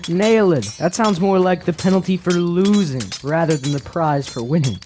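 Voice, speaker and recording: An actor playing a teasing, wine-loving young villager with a sardonic manner in a fantasy radio drama. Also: male voice, high-pitched, normal speed, noisy background, lightly acted. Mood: mocking. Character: wry, wine-loving young village lad, mocking idler.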